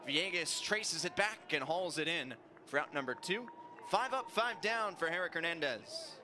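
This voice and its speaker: Higher-pitched man calling live baseball, noisy background. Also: lively intonation, moderate pace. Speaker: play-by-play sports announcer. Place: ballpark, live broadcast. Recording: noisy outdoor stadium ambience.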